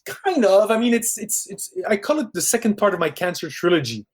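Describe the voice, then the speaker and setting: Animated man with a Parisian French accent. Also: high voice, brisk. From Quebec, Canada. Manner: animated, many restarts and asides. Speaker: film director guest. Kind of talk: casual interview chat.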